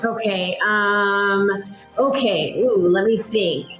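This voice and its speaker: A woman speaking casually and playfully in a gentle, encouraging way as she improvises a story. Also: mid-range voice, takes it slowly, echoey room, highs cut off, faint music behind. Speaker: guest, mother of young author.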